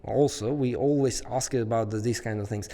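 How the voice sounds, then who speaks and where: A man hosting a podcast, talking hesitantly with many fillers and pauses. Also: mid-range voice, mellow, long reverb tail. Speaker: podcast host. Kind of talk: casual interview conversation.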